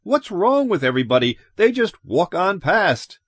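Man acting a frantic, high-pitched, horrified shopkeeper. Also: sing-song, a little slow, no room sound, studio quality, heavily acted. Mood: excited, indignant. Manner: agitated, exclamatory.

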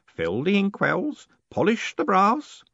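A reedy, fretful old clerk, as a male narrator puts him on, fusses and grumbles in a high, swooping voice. He is endlessly servile. The recording is dull and close. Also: huge pitch swings, slow, creaky, a bit bright, dry recording, heavily acted. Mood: grumbling. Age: older. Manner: high, quavering, deferential, keeps saying sir. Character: fussy, doleful old male postal clerk.

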